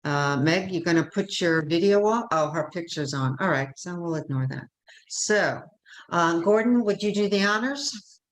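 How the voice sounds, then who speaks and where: A woman's voice: lowish voice, animated, moderate pace, rough recording. A woman moderating a candidate forum over a video call, chatty and loose, with many fillers and self-corrections.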